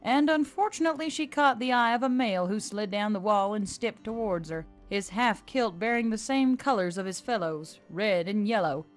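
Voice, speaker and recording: A woman's voice: medium pitch, normal speed, few pauses. A woman reading scripted fantasy fiction aloud as the narrator. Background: faint music.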